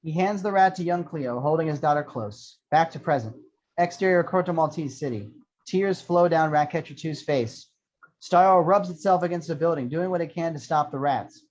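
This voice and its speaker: A man's voice: fairly high pitch, moderate pace, darkish tone. A man reading screenplay action lines as scripted narration at an amateur table read. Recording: dull lo-fi sound.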